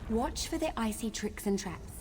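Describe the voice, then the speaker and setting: A woman playing a mannered, yearning captive in a fantasy video game, speaking in a formal, measured, wistful way. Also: a little high, animated, heavy background noise, close to an everyday voice. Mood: cautioning. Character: captive noblewoman trapped long in an icy prison, mannered, yearning. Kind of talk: video game character acting. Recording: music behind the voice.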